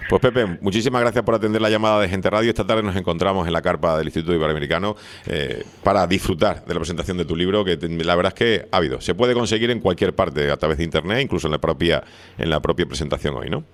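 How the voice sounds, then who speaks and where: A man's voice: low voice, fast talker. A middle-aged or older man with a hybrid southern Spanish and Canarian accent, hosting a casual, chatty radio interview in a familiar, exclamatory way. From Seville, Spain.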